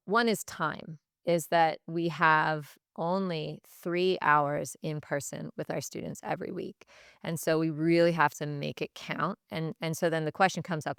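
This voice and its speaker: A woman giving thoughtful interview answers as a teacher, in a reflective, measured way, pausing to choose her words. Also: sits low, gravelly, clean tone, no room sound, clean studio sound. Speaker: Spanish teacher, podcast guest.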